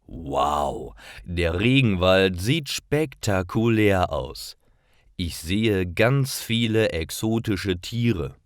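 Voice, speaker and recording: A man narrating simple scripted stories for language learners, reading carefully in clearly phrased short sentences. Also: deep voice, lively intonation, very slow, dry recording, studio quality.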